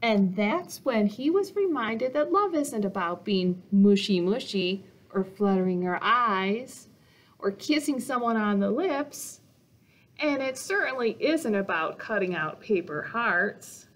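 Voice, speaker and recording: A woman in her thirties reading a picture book aloud for children as a storytime host, expressive and deliberate. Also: mid-range voice. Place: small indoor reading corner.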